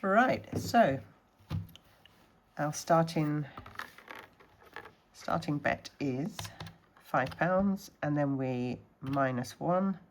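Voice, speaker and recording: A woman from the Scottish Highlands, a little snuffly from a cold, chatting casually through her budgeting challenges and counting money aloud. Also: lively intonation, drawn-out words, long pauses, slight background noise. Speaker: budgeting vlogger.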